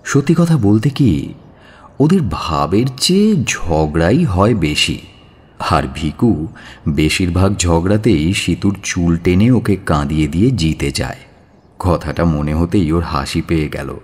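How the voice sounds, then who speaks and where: A man narrating an audio story in a measured, expressive and dramatic storytelling style. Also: low-pitched, breathy edge. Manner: measured, expressive, drawn-out phrasing.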